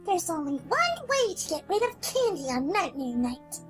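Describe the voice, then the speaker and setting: A woman's voice: extremely high pitch, sing-song, moderate pace, a bit bright, faint music behind, exaggerated voice. A woman voicing a flippant yet grim pink pony heroine with a wry, musing manner in a fan audio story. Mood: grimly determined.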